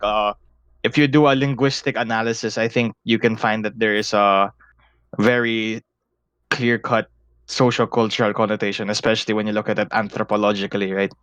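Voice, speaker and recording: A man's voice: on the low side, level tone, a little fast, a bit bright, clear, resonant tone, dry recording. A young man with a Filipino accent, co-hosting a casual podcast and talking at length in a rambling, analytical way, swearing freely. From Philippines. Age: young adult. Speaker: podcast co-host.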